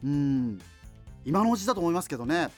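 Lively, rambling radio host. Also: male voice, fairly high pitch, fairly quick. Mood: musing, conversational. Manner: rambling, colloquial, musing asides. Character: chatty, self-deprecating male late-night radio host. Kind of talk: casual radio monologue answering listener letters. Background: music.

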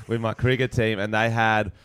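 A man's voice: fairly low pitch, level tone, normal speed, fairly bright tone, smooth, no echo, studio quality. An Australian man co-hosting a podcast, talking in a laid-back, rambling way with casual swearing. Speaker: podcast co-host. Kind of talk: casual banter.

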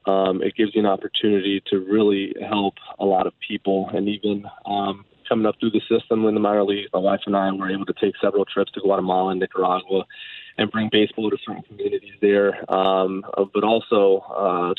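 A man's voice: on the low side, flat delivery, quick pace, no echo. A man in his thirties, a baseball manager, talking earnestly on a radio interview with lots of fillers and restarts. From United States, Dominican background. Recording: tinny phone line.

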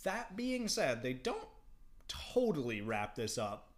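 A man's voice: mid-range voice, wildly swooping pitch, takes it slowly. A man co-hosting a podcast in casual chat, candid, laughing easily and swearing freely. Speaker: podcast co-host.

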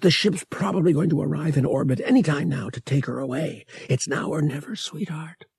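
A man playing a young male rogue in an audiobook, gentle and reassuring but urgent as he coaxes his sweetheart. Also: a little high, a little fast, creaky, husky, no room sound, pitched above the performer's own voice, heavily acted. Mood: gentle urgency. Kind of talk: audiobook character dialogue.

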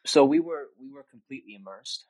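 A man's voice: mid-range voice, expressive, a little fast, a little mellow, no room sound. A man in his twenties, raised in Austria, speaking as a podcast guest in a thoughtful, reflective way. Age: 20s. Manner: reflective, thoughtful with frequent restarts. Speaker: interview guest. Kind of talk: casual conversation.

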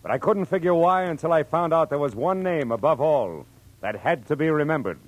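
An actor playing a wry, world-weary private detective narrating in a 1940s radio drama style. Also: male voice, fairly high pitch, expressive, a little fast, poor audio. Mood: tense. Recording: old radio recording with music.

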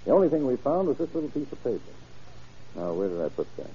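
An actor in an old-time radio crime drama playing a folksy, methodical middle-aged county sheriff, speaking plainly and deliberately. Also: male voice, mid-range voice, sing-song, warm tone, slight background noise. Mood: folksy, inquiring. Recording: muffled vintage radio drama recording.

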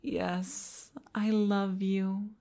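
A woman playing an obsessive older female boss in an intimate audio roleplay, coaxing with condescending sweetness and slipping into breathy half-whispers. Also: medium pitch, level tone, drawn-out words, mellow. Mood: possessive, obsessive.

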